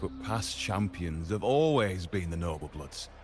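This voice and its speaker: Deep-voiced gossiping male spectator. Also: expressive, measured pace, faint music behind. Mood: gossipy. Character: chatty, knowing male arena spectator. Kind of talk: video game voice acting.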